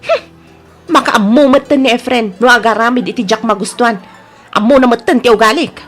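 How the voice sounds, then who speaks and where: A woman's voice: fairly high pitch, normal speed, fairly bright tone. A woman acting a proud, suspicious, jealous wife in an Ilocano audio drama, with a Filipino accent. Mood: guarded, casual.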